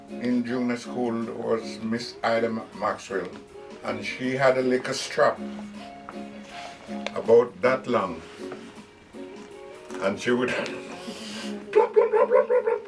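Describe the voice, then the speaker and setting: A Jamaican man around eighty recalling his schooldays in an oral history interview, slow and animated, with vivid sound words. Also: mid-range voice. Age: about 80. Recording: distant mic with guitar music.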